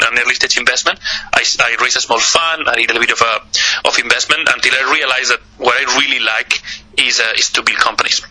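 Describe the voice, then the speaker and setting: A man from Spain with a Spanish accent, a guest answering interview questions over a voice call app, often halting and repeating words. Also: mid-range voice, bright, forceful tone, slight background noise, low-quality recording. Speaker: guest, accelerator partner.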